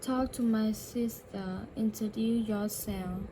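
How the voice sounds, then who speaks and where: Slow, flat-voiced woman shadowing English. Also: fairly high pitch, a little hiss or hum, poor audio. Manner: slow, careful repetition with self-corrections. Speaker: English learner. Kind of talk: shadowing practice, repeating a dialogue.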